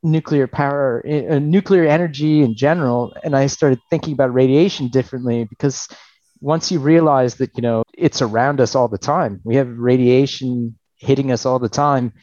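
A man in his forties speaking as a podcast guest over a dull, muffled remote line that sounds dry and close. His pitch is middle-range, and he talks thoughtfully, restarting sentences and laughing wryly. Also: normal speed, mellow, dry recording. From Alaska, United States. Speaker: podcast guest, party official. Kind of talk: conversational interview answers.

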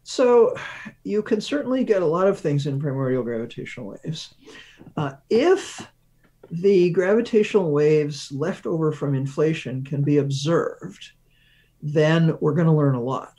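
Male interview guest, scientist type, slightly high voice, explains step by step with analogies and hesitant pauses. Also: lively intonation, warm tone. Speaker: guest research physicist.

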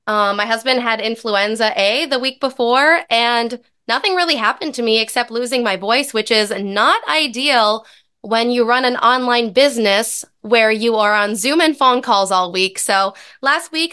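A woman in her thirties hosting a podcast in a casual, upbeat way, her voice a little raspy from recovering from a lost voice. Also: on the high side, pushed and bright. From American Midwest. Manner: upbeat, chatty. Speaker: podcast host and dietitian.

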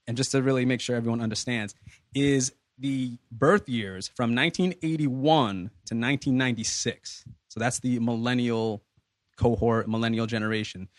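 A man's voice: mid-range voice, moderate pace, no room sound. A man in his thirties hosting a podcast in a loose, easygoing way. He wanders through his points with plenty of fillers and restarts. His voice sits mid-range and is recorded close and dry. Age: 30s. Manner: relaxed, rambling with many fillers. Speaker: podcast host. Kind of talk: casual group discussion.

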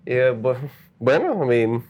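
Halting Puerto Rican man. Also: mid-range voice, very animated, highs cut off. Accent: Puerto Rican Spanish. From Puerto Rico. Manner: halting, full of restarts and English words. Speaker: copywriter guest. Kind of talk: casual interview.

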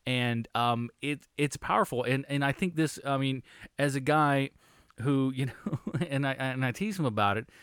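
Casting: man, mid-pitched, jokey podcast co-host, dry close sound. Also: moderate pace, fairly bright tone, smooth, dry recording. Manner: jokey, throws in silly quips. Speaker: podcast co-host, film reviewer. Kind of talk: casual chat.

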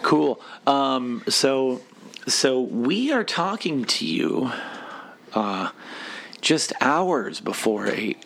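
Podcast co-host, man, gravel in the voice and a bit hoarse, crude rambling humor, faint noise behind. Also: mid-range voice, very animated, extremely slow. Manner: profane, joking, rambling. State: slightly hoarse. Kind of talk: crude casual podcast banter.

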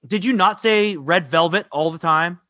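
A high, bright-voiced man hosts a podcast, talking in an excitable, joking way. His voice sounds close and dry with no room around it. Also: dry recording. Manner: excitable, jokey, quick asides. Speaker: podcast host. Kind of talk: casual chat.

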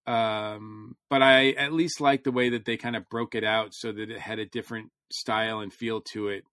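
A man's voice: lowish voice, fairly even intonation, moderate pace, a bit bright, no echo, studio quality. A man talking casually as a podcast host, rambling a little as he thinks aloud. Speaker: podcast host reviewing comics. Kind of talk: casual chat.

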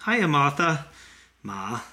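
An actor playing a foul-mouthed young American boyfriend in a found-footage audio drama, rambling and questioning himself. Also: male voice, on the high side, very animated, slow pace, noisy background. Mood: uneasy, apologetic. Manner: rambling, profane, self-questioning. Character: frantic, foul-mouthed young boyfriend searching for his girlfriend.

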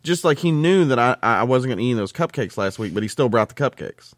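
A man in casual podcast banter, telling stories in an animated, ranting way and acting out quoted exchanges. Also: mid-range voice, fast talker, dry recording, clean studio sound.

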